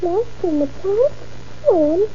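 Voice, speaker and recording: A woman's voice: very high voice, lively intonation, slow, mellow, a little hiss or hum, heavily acted. A timid eleven-year-old girl. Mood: anxious. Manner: hesitant, timid replies. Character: young girl, eleven, worried and polite. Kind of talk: 1940s radio acting. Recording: dull old radio recording.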